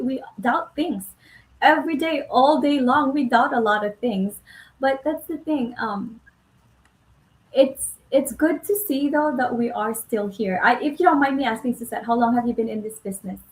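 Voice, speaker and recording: A chatty woman hosts a live stream, often laughing and restarting her sentences. Her voice sits a little high. The sound carries a roomy echo. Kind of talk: casual live-stream chat.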